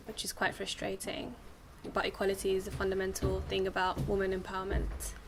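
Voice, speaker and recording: A young woman talks steadily in a flat, even voice, pausing often on ums as she thinks. A faint background hum sits under the recording. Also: mid-range voice, gravelly. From England. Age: young adult. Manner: even, measured delivery with frequent ums. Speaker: guest. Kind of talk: casual studio interview.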